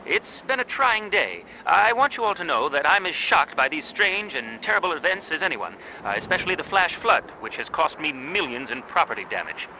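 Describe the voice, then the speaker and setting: A man's voice: a little high, very animated, fairly quick, few pauses, sharp tone, a little hiss or hum, exaggerated voice, poor audio. A man voicing a smug, self-absorbed tycoon making a glib, stammering, reassuring public speech in a video game. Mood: falsely reassuring.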